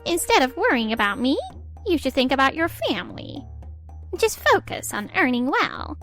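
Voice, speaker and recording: A woman voicing a stern older mother-in-law and senior nurse, curt and deliberate, in a manga dub. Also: high voice, big pitch swings, fairly bright tone, faint music behind.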